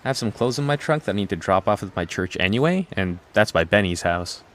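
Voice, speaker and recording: A man's voice: on the low side, lively intonation, a little fast, fairly bright tone. A man playing a friendly, frank, well-off young man in an audio drama. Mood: friendly, earnest. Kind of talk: audio drama character acting.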